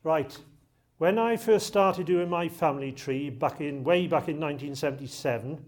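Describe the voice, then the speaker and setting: A man's voice: on the high side, normal speed. Welsh guy giving a talk in a lecture room, jokey and chatty with the crowd. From South Wales. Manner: chatty and jokey, with audience banter. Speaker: archive lecturer. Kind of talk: public talk to a live audience.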